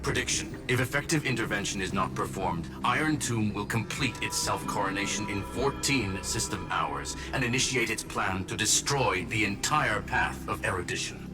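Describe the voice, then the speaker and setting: Man acting a clinical genius, lowish voice. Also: lively intonation, moderate pace, few pauses, natural voice. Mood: grave, matter-of-fact. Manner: clinical, formal, announces statements with one-word labels. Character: coldly analytical male genius with clipped machine-like speech. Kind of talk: video game dialogue voice acting. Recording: loud music bed under voice. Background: background music.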